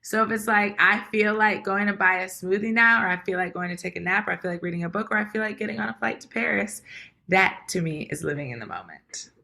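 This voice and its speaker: A woman guest from Washington, D.C. with a raspy voice, speaking emphatically and correcting details firmly. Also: mid-range voice, fast, pushed and bright. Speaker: podcast guest. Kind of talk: casual conversation.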